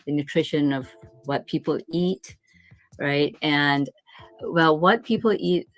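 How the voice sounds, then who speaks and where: Low-voiced woman from Singapore. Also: slow, faint music behind, low-quality recording. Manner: thoughtful, candid, frequent ums. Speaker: nutrition professor, guest. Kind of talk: interview answers.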